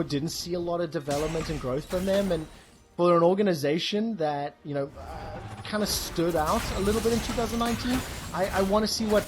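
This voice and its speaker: A man calling live esports play-by-play with quick, excited bursts. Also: sits high. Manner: excitable, fast bursts, exclamations. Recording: commentary over loud game music.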